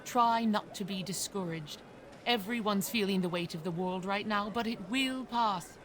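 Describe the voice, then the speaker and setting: An actress playing a kindly, motherly landlady in an audio drama, gentle and encouraging. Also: medium pitch, moderate pace, background noise, close to an everyday voice. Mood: gentle, reassuring. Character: Mrs. Hudson, kindly, motherly, reassuring landlady.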